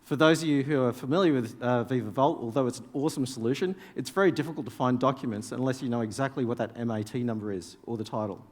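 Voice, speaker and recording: Danish-native guy doing a live demo on stage, chatty, talks to the crowd, mid pitch, big hall reverb. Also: quick pace, few pauses, a little mellow. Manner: casual, explanatory, addresses audience directly. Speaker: conference presenter. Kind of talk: live software demo talk. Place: large conference hall.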